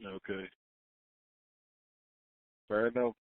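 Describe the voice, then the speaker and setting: A man's voice: on the low side, fairly even intonation, drawn-out words, no echo. A man calling in to a conference call and chatting casually over the telephone. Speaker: caller. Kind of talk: casual phone chat. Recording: narrowband tinny phone line.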